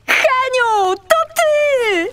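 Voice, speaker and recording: A woman voicing a young female seal in a children's audiobook, adoring and excitable, with gushing, theatrical delivery. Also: extremely high pitch, extremely slow, heavily acted. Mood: delighted, admiring. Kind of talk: audiobook character acting.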